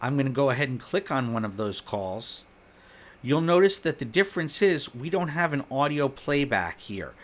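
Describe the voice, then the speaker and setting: A man narrating an instructional software walkthrough in a conversational way, guiding the listener step by step. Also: mid-range voice, sing-song, normal speed. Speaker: software demo presenter.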